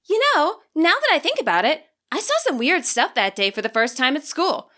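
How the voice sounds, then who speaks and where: A woman's voice: sits high, wildly swooping pitch, normal speed, pushed and bright, pitched above the performer's own voice, exaggerated voice. A woman voicing a bubbly teen schoolgirl. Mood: chatty. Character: overeager chatty teen girl student council president.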